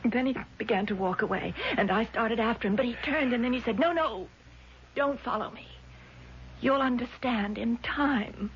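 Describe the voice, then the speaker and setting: A woman's voice: fairly high pitch, normal speed, lightly acted. An actress in a vintage radio drama playing a distraught, frightened woman who pleads breathlessly to be believed. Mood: shaken, wondering. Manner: pleading, breathless, emotional repetition. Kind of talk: 1970s radio drama acting. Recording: dull, noisy vintage radio recording.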